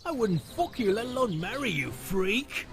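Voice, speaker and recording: A spiteful, murderous male bandit in a fantasy game. His pitch swings wildly as he spits aggressive, contemptuous words. Music plays clearly behind him. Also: very animated, moderate pace. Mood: scornful. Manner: aggressive, spitting insults. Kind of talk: video game voice acting.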